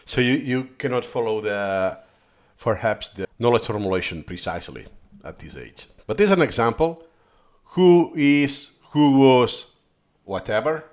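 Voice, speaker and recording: A man whose first language is Catalan talks through a video in a voice-over, and his pitch rises and falls a lot. He thinks aloud and digresses. He often corrects himself mid-sentence. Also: lively intonation, unhurried, darkish tone. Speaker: video host reviewing footage.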